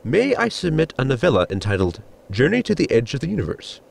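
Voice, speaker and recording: A man playing a formal, pedantic science officer in a sci-fi comedy audio drama, with a gravelly voice and precise technical wording. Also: mid-range voice, wildly swooping pitch, fast, exaggerated voice. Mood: eager, formal.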